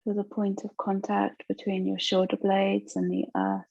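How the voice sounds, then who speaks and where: A woman teaching yoga nidra, guiding a relaxation meditation in a gentle, soothing, unhurried way with long pauses. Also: mid-range voice, sing-song, gravelly, no room sound, muffled recording.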